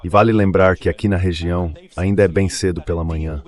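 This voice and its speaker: Low-voiced man reporting the news. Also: fairly even intonation, brisk. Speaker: news correspondent. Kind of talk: translated voice-over news report.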